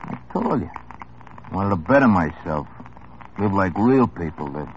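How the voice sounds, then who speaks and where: An actor playing a small-time hoodlum in an old radio drama, tough, wry and world-weary. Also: male voice, sits low, sing-song, moderate pace, a little hiss or hum. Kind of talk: 1950s radio drama acting. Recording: muffled old radio broadcast.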